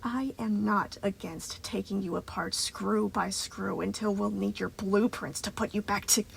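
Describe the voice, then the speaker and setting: A woman voicing an unhinged, condescending male moon-faced robot in a slow, crackling near-whisper, taunting with tightly controlled intensity, in a story reading. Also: mid-range voice, steady tone, vocal fry. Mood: menacing, annoyed.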